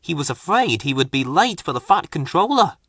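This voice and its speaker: A man reading a children's storybook aloud in an expressive, dramatic way. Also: fairly high pitch, brisk, husky, dry recording, studio quality. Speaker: story narrator. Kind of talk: scripted children's storybook narration.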